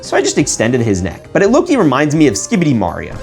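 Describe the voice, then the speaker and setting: A man's voice: medium pitch, wildly swooping pitch, fast, a little mellow, soft background music. A young man narrating a video in a casual, slangy, jokey and exclamatory way. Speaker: video host. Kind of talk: casual scripted vlog narration.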